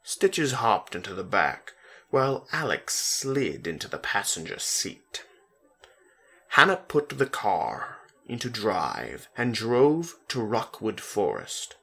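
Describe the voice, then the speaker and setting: A man's voice: on the low side, very animated, slow pace, gravelly, a bit bright, husky. A man narrating a story as a dramatic reading.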